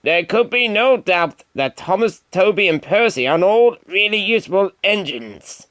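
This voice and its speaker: Man playing an animated, pompous railway controller. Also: high-pitched, a little slow, no room sound, voice pushed up. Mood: proud praise.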